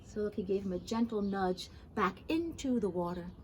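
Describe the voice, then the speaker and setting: A woman reading a children's story aloud expressively, pausing for gentle asides to young listeners. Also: medium pitch, animated, noisy background. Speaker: storybook reader.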